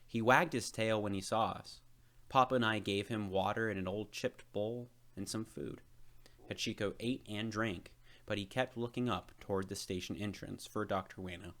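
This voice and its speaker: A man's voice: lowish voice, fairly even intonation, moderate pace, a bit bright. A man reading a children's storybook aloud as narrator. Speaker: storybook reader.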